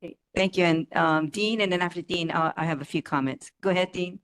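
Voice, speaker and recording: A woman chairing a neighborhood board meeting, brisk as she directs the speakers. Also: on the low side. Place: community meeting room.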